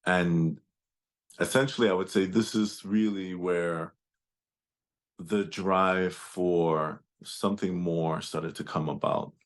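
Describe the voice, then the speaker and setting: A man whose first language is German speaks over a video call in a very low, deep voice. He talks slowly and thoughtfully, pausing and restarting as he recalls his past. The delivery is reflective and unhurried. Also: steady tone. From Turks and Caicos Islands and Germany. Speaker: guest speaker. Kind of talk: personal storytelling.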